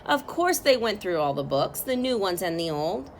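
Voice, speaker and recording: A woman reading a picture book aloud as a storyteller, with expressive, drawn-out phrasing for young listeners. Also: mid-range voice, slight background noise. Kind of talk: expressive children's read-aloud narration.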